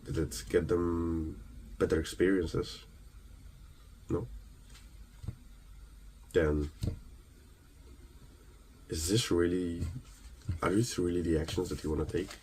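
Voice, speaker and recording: A man from Ukraine delivers an earnest, emphatic motivational monologue, halting between phrases and posing rhetorical questions. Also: low-pitched, fairly even intonation, darkish tone, a little hiss or hum, rough recording. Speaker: motivational speaker.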